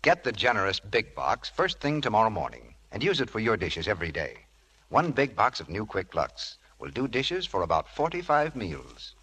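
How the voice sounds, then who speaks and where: Low-voiced, very lively radio announcer man. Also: moderate pace, slightly rough. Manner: emphatic, salesmanlike. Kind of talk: 1940s radio commercial pitch. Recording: old radio broadcast recording.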